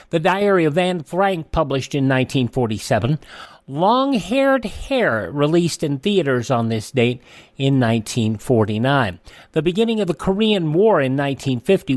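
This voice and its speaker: A man hosting a radio show, reading a this-day-in-history rundown with offhand asides and quick self-corrections. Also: medium pitch, no echo. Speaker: radio host.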